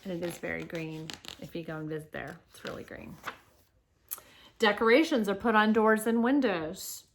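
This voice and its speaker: A woman's voice: medium pitch, moderate pace, a little hiss or hum. A woman teacher reading a picture book aloud to children, explaining things and speaking to her young listeners directly with casual asides.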